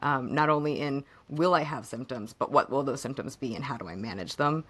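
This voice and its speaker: A hoarse woman co-hosting a podcast, chatting casually and haltingly about personal matters, her voice fading a bit. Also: very deep voice, fairly bright tone. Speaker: podcast co-host.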